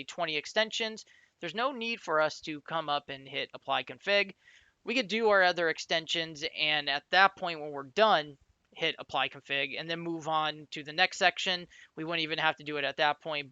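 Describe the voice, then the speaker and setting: A man's voice: on the high side, bright, forceful tone, clean tone, dry recording, lo-fi sound. A man giving an informal, explanatory step-by-step screencast tutorial in a conversational way, as an instructor.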